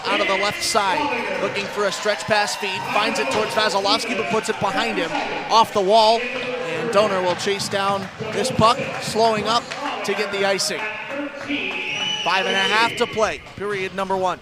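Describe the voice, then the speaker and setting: A man's voice: sits high, steady tone, normal speed, over loud music. A man calling live ice hockey on the radio as play-by-play announcer, in flowing descriptive calls that build on big plays.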